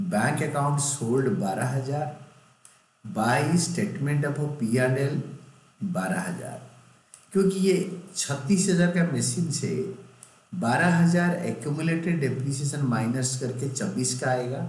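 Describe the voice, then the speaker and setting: A man's voice: mid-range voice, darkish tone. A man with an Indian accent teaching accountancy step by step in an instructive way, drawing out key phrases as if for dictation. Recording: echoey, roomy voice recording.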